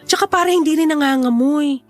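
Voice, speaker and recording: An actress playing a frank, reasonable neighbor woman in a radio drama, pleading with gentle reproach. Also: sits high, a little fast, soft background music, close to an everyday voice. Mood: earnest pleading. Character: frank, reasonable female neighbor, earnest and exasperated.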